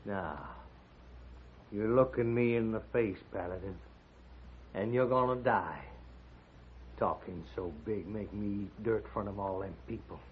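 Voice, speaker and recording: Actor as a menacing gunslinger, tinny noisy radio. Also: male voice, lowish voice, measured pace, pauses often, lightly acted. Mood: menacing gloating. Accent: American. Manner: menacing, goading, folksy drawled grammar. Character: vengeful bullying Western gunslinger villain. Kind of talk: 1950s Western radio drama acting. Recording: noisy, phone-like old radio recording.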